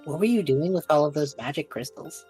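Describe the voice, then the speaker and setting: A woman's voice: sits low, lively intonation, fairly quick, dark tone, husky, soft background music. A woman chatting casually in character as a player in a tabletop game. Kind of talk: casual in-character role-play chat.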